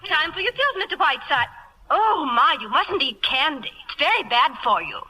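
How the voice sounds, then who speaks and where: A woman's voice: high voice, very animated, normal speed, pushed and bright, full character voice. An actress playing a fluttery, earnest, easily shocked lady with theatrical delivery in a radio comedy. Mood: fussing. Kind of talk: 1940s radio comedy acting. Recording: noisy vintage radio recording with clicks.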